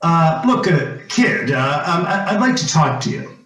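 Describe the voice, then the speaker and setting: A man's voice: on the high side, very animated, unhurried, fairly bright tone, a little hiss or hum. A man acting an insistent, coaxing former small-town police chief in an old-time radio drama, stammering and hesitating as he talks. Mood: nervous, probing. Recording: roomy echo, music underneath.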